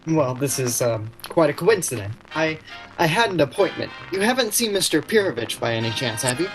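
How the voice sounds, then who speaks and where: A man's voice: a little high, sing-song, brisk, soft background music, rough recording. A man playing an awkward, prickly shop clerk in a vintage-style radio romantic comedy, speaking in a hesitant, wry way. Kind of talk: vintage radio play acting.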